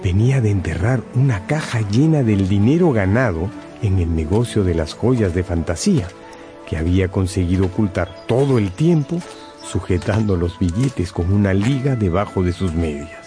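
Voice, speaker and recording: A man's voice: low voice, expressive, normal speed. A man narrating a scripted radio drama as a dramatic storyteller. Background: background music.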